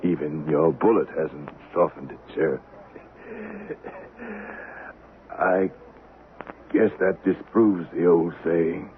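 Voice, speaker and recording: A hard-hearted, dying avenger in a western radio play. His American voice is very low and slow, weighing each word gravely. The old recording is thin and tinny. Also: fairly even intonation. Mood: weary, resigned. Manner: grave, deliberate, formal. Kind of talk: radio drama acting. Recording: tinny vintage radio recording, faint hiss.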